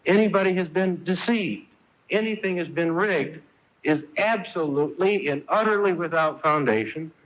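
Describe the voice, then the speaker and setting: A man's voice: on the high side, normal speed, highs cut off. An American man speaking formally as in political testimony, heard in an old radio news clip.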